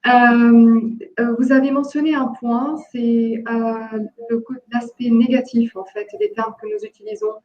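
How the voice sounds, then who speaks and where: A woman from Jordan with no accent, speaking haltingly with many fillers and restarts in an online discussion workshop. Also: on the high side. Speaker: workshop participant, entrepreneur. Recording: echoey, dull lo-fi call audio.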